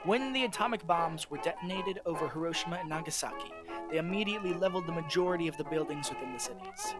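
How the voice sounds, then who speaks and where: A narrator reading a scripted newsreel segment in an even, informative manner. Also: mid-range voice, quick pace, poor audio. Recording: narration over loud music.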